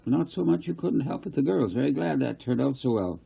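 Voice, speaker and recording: Man reading as an earnest, husky young boy. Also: medium pitch, big pitch swings, fairly quick, warm tone, voice pushed up, full character voice, low-quality recording. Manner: brief, earnest replies.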